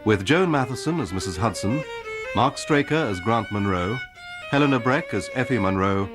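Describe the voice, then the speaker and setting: A man's voice: fairly low pitch, expressive, moderate pace. A man reading scripted closing credits as a broadcast announcer. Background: violin music.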